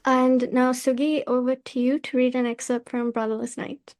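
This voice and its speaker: A woman's voice: high-pitched, flat delivery, moderate pace, a little mellow, a little hiss or hum. A woman hosting a literary podcast, speaking in a scripted presenter style. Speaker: podcast host and editor.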